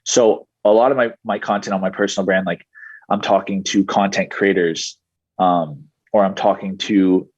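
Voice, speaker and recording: A young man in his twenties talks in a measured, explanatory way as a podcast guest. His voice is a little low and husky. The recording is dry and somewhat muffled. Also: steady tone, dry recording. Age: 20s. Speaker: guest, digital marketing entrepreneur. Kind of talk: podcast interview answers. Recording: dull, muffled podcast audio.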